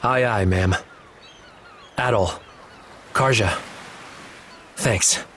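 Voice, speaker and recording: An actor playing a somber, haunted young man in a video game cutscene, speaking in an earnest, subdued and measured way. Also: fairly low pitch, a bit bright, a little hiss or hum. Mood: contrite, resolved.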